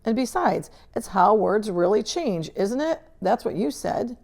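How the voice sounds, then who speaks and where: Lady reading as earnest little schoolboy in a storybook, stubborn n informal, pitched up. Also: expressive, unhurried, darkish tone. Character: earnest, plucky fifth-grade boy standing his ground. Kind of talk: storybook read-aloud character dialogue.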